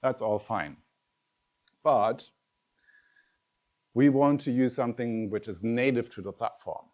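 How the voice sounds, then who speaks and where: A man's voice: mid-range voice, slow pace. Conversational German-accented tech speaker, man. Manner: lively, conversational, addresses audience directly. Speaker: conference speaker. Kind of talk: tech conference talk.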